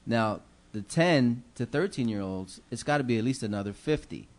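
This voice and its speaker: A man's voice: medium pitch, lively intonation, normal speed. A middle-aged man, a city commissioner, giving earnest, preacherly holiday remarks into a desk microphone in a council chamber. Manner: earnest, preacherly, many fillers. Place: council chamber, seated at dais. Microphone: desk microphone on gooseneck.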